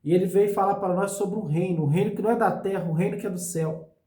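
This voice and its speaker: A male pastor preaching a sermon for his online channel, hoarse from a cold, in an earnest and unhurried way. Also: sits high, dark tone, echoey room. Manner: earnest, slow preaching with frequent "meus irmãos".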